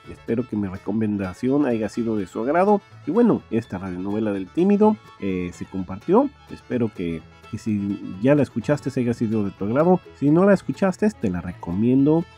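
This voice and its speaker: A man hosting a podcast, giving an informal, halting spoken introduction. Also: mid-range voice, mellow, breathy edge. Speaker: podcast host. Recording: music behind the voice. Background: guitar music.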